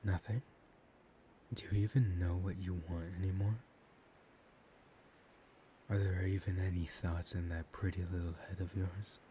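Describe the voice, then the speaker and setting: A man acts out a seductive male vampire with a low, dark voice that stays level and controlled. He is slow, dominant and teasing, often sinking into a whisper as in an ASMR roleplay. A steady hiss sits behind the voice. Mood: teasing, commanding. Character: dominant, seductive male vampire hypnotizing his captive lover. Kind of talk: soft-spoken ASMR roleplay.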